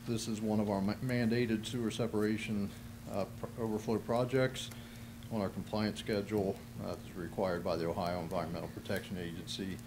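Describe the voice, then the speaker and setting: A middle-aged man, a city official, giving a halting formal explanation at a podium microphone in a council chamber. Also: on the low side, little pitch movement. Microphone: podium microphone on stand. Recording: noisy council chamber room recording.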